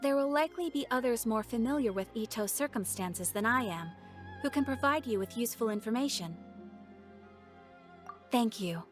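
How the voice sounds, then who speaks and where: Composed, courteous female official for a game , played by an actress: slightly high, measured, earnest. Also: expressive, faint music behind, natural voice. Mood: formal, composed. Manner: measured, formal, polite. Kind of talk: video game dialogue.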